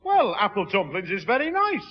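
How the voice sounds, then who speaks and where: A pompous, jovial Northern English working man, high in pitch, blusters through grand words he gets wrong. It is sixties radio comedy, muffled and ringing with long reverb. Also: huge pitch swings, moderate pace, slightly rough, full character voice. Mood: jovial, boastful. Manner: blustering, malapropism-prone. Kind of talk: 1960s radio comedy acting. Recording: muffled, echoing, noisy old radio broadcast.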